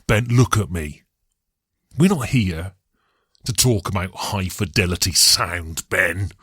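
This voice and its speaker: Man putting on a husky, low, menacing heavy. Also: a bit bright, no echo, studio quality, full character voice. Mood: scolding. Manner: slow, deliberate, threatening repetition. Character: menacing male spokesman for impatient, demanding listeners. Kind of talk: comic podcast skit.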